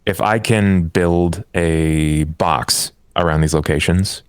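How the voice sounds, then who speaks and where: A man's voice: low-pitched, slow pace, clean tone, dry recording, studio quality. A man who is a player in a tabletop game, talking casually through his moves.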